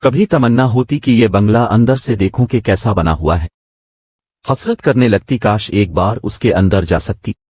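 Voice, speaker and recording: A man's voice: lowish voice, normal speed, a little mellow, breathy edge, dry recording. A man reading a scripted moral story as its narrator.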